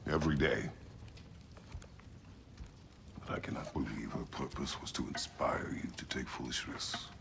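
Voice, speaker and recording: An actor playing a stern, protective warrior father in a video game, gruff and gravelly, speaking in terse formal commands. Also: brisk, background noise, exaggerated voice. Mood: grave, firm. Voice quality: deep, gravelly. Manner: terse, commanding, formal phrasing without contractions. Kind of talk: dramatic video game acting.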